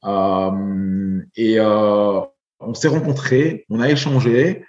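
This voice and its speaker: A man in his forties from Belgium, a podcast guest talking in a halting way, with many fillers and repeated words. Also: lowish voice, fairly even intonation, a little mellow, echoey room, lo-fi sound. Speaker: guest, investor. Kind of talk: podcast interview.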